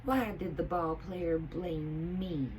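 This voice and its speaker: A woman's voice: fairly low pitch, slow, darkish tone. Talking hat, played by a woman: earnest, plaintive, eager to help, storybook style, faint noise behind. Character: eager, well-meaning talking hats, puzzled and easily dismayed.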